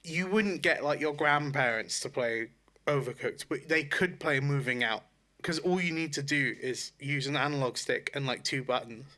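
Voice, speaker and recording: A man's voice: medium pitch, normal speed, bright, forceful tone. A man co-hosting a podcast, chatting casually about video games in a blunt, joking, informal way. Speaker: podcast co-host.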